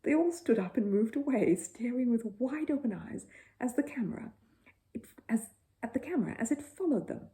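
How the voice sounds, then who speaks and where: A woman reading a children's bedtime story as an expressive narrator. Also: a little high, normal speed.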